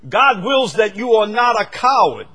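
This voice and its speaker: An older man from the United States preaching a sermon, swinging from loud, fervent stretches to quiet asides. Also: sits high, very animated, unhurried, husky.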